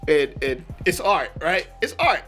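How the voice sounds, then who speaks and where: A young man hosts a casual reaction video, chatting in loose slang and laughing often. His voice is high and bright, a little worn from so much laughing, and faint music plays underneath. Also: big pitch swings, measured pace. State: losing his voice.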